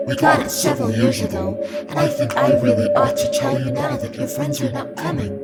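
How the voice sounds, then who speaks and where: A student actress playing a male diner counterman who turns out to be an alien, halting and wary. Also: extremely low pitch, big pitch swings. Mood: knowing. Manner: halting, wary, then knowing. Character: gruff male counterman, secretly a Venusian. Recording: music playing behind.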